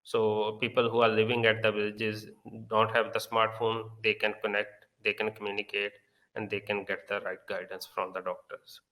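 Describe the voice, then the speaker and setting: A man with a Pakistani accent giving a conference talk, halting often with long filler pauses. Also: fairly low pitch, completely flat delivery, bright, forceful tone, rough recording. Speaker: conference speaker.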